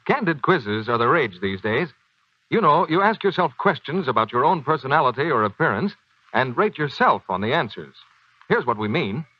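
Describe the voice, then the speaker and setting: A man's voice: medium pitch, big pitch swings, normal speed, no echo. A man announcing on 1940s radio in a polished, persuasive scripted sales style. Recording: dull, dry 1940s radio broadcast.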